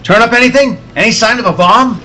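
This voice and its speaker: A man acting a brusque, hurried newspaper editor with a husky, barking delivery in old-time radio style. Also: extremely high pitch, bright, forceful tone, slight background noise. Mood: impatient.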